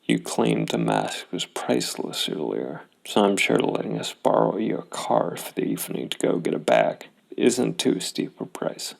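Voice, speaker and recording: A man playing a shrewd, wry private detective in a noir tabletop game, with a gravelly voice. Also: sits low, big pitch swings, unhurried, hardly any pauses, full character voice, low-quality recording. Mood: wry, bargaining.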